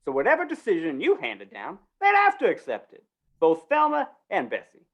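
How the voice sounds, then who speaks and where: A woman's voice: mid-range voice, wildly swooping pitch, normal speed. A woman acting a flustered, wheedling small-town male undertaker in a 1950s-style Western radio play, stammering in rustic speech. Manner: stammering, pleading, rustic grammar.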